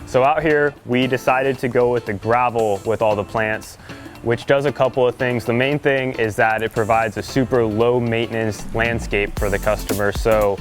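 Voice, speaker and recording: A man gives a relaxed, plain-spoken tour of a finished landscaping job, explaining each choice as he goes. His voice sits at an ordinary middle pitch, with clear music playing under it. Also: normal speed. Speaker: landscaping company presenter. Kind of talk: casual walkthrough of a finished project.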